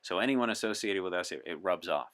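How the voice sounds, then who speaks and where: A man chatting as an interview guest, earnest and deliberate, with playful dry humor. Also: deep voice, animated, fairly bright tone. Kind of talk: in-character interview chat as a time traveler from 1964.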